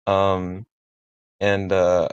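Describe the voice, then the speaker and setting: Male, lowish voice, halting participant giving informal script notes, repeats himself as he goes. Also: completely flat delivery, clean tone, highs cut off. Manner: halting and repetitive, restarting words often. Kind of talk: casual spoken feedback.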